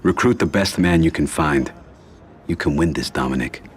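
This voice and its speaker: An actor playing a calm mob boss giving measured advice to his soldier. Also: very deep voice, a little hiss or hum. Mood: approving. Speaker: Michael, mafia boss.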